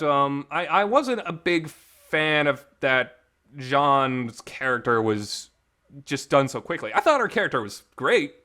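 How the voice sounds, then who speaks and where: A man's voice: mid-range voice, bright, forceful tone. A man giving a casual, unscripted review as host, talking emotionally and emphatically with some mild swearing. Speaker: host of a visual novel review show. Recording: reverberant amateur home recording.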